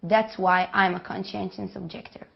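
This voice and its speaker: Deliberate young woman, muffled. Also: medium pitch, level tone, vocal fry. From Israel. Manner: earnest, deliberate statements. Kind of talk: scripted appeal to camera.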